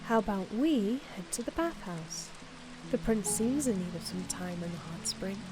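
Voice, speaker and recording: A woman's voice: mid-range voice, lively intonation, normal speed, close to an everyday voice, low-quality recording. A woman playing a devoted, protective young rabbit-eared bride-to-be in an audio drama, speaking with earnest emotion. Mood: gentle, caring. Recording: music and rain behind the voice. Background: music and rain.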